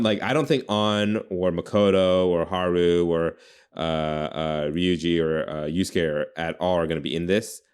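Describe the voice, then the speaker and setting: Deep voiced guy podcast host, casual, thinking out loud and fixing what he says as he goes. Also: smooth. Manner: informal, self-correcting, thinking aloud. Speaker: podcast host pitching game ideas. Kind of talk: casual brainstorming chat.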